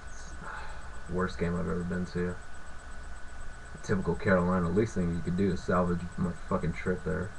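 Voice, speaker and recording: Sick, raspy young man ranting. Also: low voice, background noise. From Fresno, California. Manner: profane, rambling, lots of filler. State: sick with sore throat, raspy. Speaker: sports fan vlogger. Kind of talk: casual ranting vlog.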